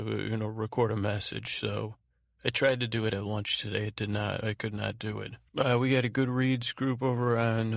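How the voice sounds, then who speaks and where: A man hosting a bedtime podcast in a gravelly voice, meandering and halting as he trails off mid-thought. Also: lowish voice, dry recording, studio quality. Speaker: podcast host. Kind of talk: rambling bedtime podcast monologue.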